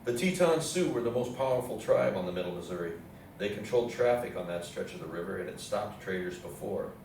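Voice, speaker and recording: Echoey, low-voiced man narrating history. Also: lively intonation, brisk, darkish tone. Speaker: narrator in a readers theatre play. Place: indoor theatre room with audience program. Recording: echoey hall, distant mic, faint noise.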